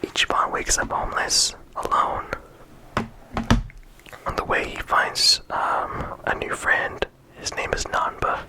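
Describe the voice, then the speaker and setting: Soft whispering ASMR speaker. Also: slow. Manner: soft unvoiced whisper throughout; casual, with frequent ums and likes. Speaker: ASMR creator. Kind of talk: whispered ASMR game discussion.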